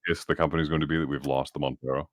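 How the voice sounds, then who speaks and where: A man's voice: extremely low pitch, level tone, racing, no room sound. A man playing a tabletop roleplaying game, chipping in with casual table banter.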